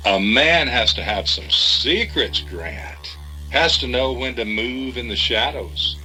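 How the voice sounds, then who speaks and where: A man's voice: mid-range voice, slow, sharp tone, faint music behind, rough recording. An actor playing a cagey, folksy man who deals in secrets, with a knowing, evasive manner. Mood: cryptic. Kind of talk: audio drama acting.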